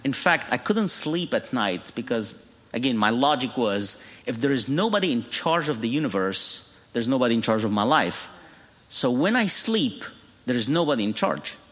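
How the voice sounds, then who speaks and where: A man's voice: mid-range voice, very animated, normal speed, a bit bright. A middle-aged man with an Egyptian accent, Arabic his first language, speaks from a church pulpit as a guest preacher. He tells his story with humor and often laughs at his own jokes. His mid-range voice rings out into a long, echoing hall. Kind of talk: sermon testimony.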